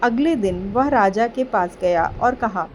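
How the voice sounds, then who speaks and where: A woman's voice: fairly high pitch, a little slow, slight background noise. A woman giving scripted narration of a children's story.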